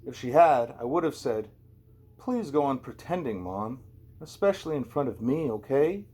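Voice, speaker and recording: A man's voice: medium pitch, expressive, normal speed, dark tone, breathy edge. A man narrating a first-person novel as an amateur audiobook reader, in a conversational, wry storytelling way.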